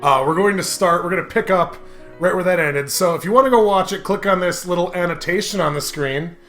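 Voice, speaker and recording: A man hosting a casual, comedic let's play, talking in an excitable, jokey and animated way. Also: high-pitched, brisk. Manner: laughs often while talking. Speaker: host. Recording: music behind the voice. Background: video game music.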